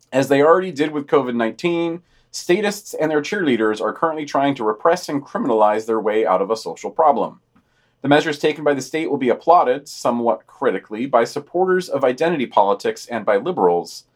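Man reading news with dry asides. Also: mid-range voice. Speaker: podcast host reading weekly news.

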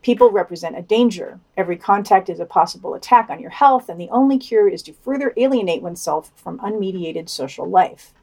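A woman's voice: mid-range voice, dark tone. A woman co-hosting a podcast, reading scripted news commentary and quotations with occasional wry asides. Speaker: podcast co-host.

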